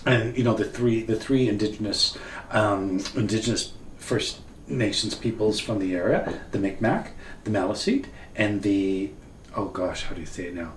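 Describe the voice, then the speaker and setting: Man, French native speaker, from Ontario, lowish voice, far-off mic, faint hiss. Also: normal speed. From northern Ontario. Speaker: co-host. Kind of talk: casual chat. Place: wood-panelled cabin room, seated at table. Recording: roomy, distant, faint hiss.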